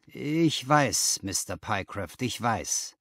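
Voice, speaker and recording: Courteous male company director acted by a male narrator, formal, strained, lilting pitch, Victorian audiobook. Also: animated, slow pace, a bit bright, no echo, studio quality, exaggerated voice. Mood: controlled, polite.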